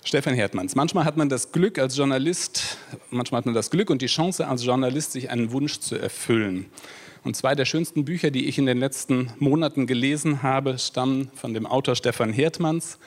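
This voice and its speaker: A man from Germany, a journalist moderating a panel discussion, who introduces the guest formally and in measured phrases. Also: mid-range voice.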